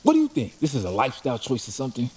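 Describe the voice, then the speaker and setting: A man playing a scrappy, mouthy mugger and desperate addict in an audio drama, streetwise, slangy and excitable. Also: medium pitch, rapid-fire. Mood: indignant. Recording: clear background noise, rain ambience.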